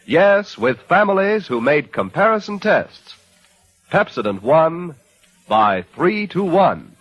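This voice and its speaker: A man's voice: a little high, very animated, slow pace. A man reading a scripted 1940s radio advertisement. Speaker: commercial announcer. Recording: dull vintage radio commercial recording.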